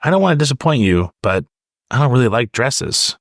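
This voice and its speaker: A man's voice: mid-range voice, very animated, a little fast, no echo, clean studio sound, pitched above the performer's own voice. A man voicing a reluctant but affectionate teenage superhero daughter in a storybook, casual and a little hesitant. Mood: apologetic.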